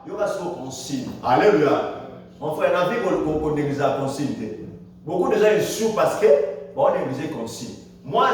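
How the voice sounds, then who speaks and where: A male pastor preaching a Sunday sermon in French, with dramatic swings in pitch and loudness and frequent calls of hallelujah. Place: church. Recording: echoey church hall, distant mic, faint noise.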